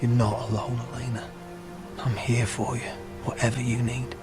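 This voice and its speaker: A man playing a steady young lord and loyal friend in a fantasy video game, speaking gently and earnestly with restraint. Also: mid-range voice, normal speed, music in the background, natural voice. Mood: gentle, consoling.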